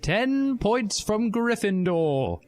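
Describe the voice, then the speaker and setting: A man's voice: high voice, very animated, extremely slow, darkish tone, voice pushed up, heavily acted. A man voicing a prim, indignant older woman schoolteacher in a comic story reading. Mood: shocked, stern. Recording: music behind the voice.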